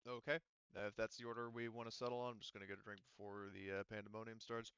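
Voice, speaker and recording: A man acting as game master of an online tabletop roleplaying game, explaining rules in a halting, deliberate way over a voice chat. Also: fairly low pitch, little pitch movement, a bit bright, clear, resonant tone, no room sound, dull audio. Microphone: online voice call microphone.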